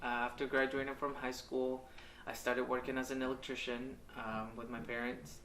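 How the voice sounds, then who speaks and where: A man in his thirties from Mexico, telling his life story in a candid, hesitant way with many pauses and ums. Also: medium pitch, level tone, a bit bright, slight background noise. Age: 30s. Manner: hesitant, halting, with frequent ums and uhs. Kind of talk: candid personal testimonial. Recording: echoey room, mic at a distance.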